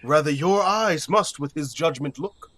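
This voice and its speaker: A stately Athenian duke played by a man gives judgment in a Shakespearean audio play. His voice is notably high for a man, with formal, measured authority in each phrase. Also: fairly bright tone, a little hiss or hum. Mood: grave, advising. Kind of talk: Shakespearean verse audio drama acting.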